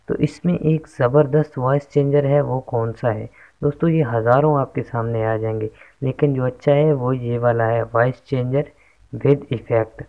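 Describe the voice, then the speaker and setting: Flat-toned man presenting a tech tutorial. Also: medium pitch. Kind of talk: casual how-to tutorial narration. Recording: dull lo-fi microphone sound.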